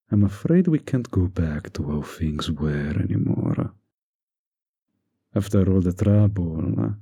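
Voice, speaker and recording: A man playing a smug, manipulative, flirtatious villain in an intimate audio drama, coaxing with deliberate pauses in a breathy, husky voice. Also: sits low, dark tone, exaggerated voice. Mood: smug, insistent.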